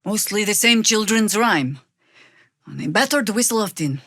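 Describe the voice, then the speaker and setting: An actress playing a seasoned, middle-aged druid woman in a video game, a wry and stern resistance fighter who speaks with measured, commanding dryness. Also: medium pitch, expressive, a bit bright, dry recording, studio quality. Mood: wry, firm. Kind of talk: video game character dialogue, dramatic acting.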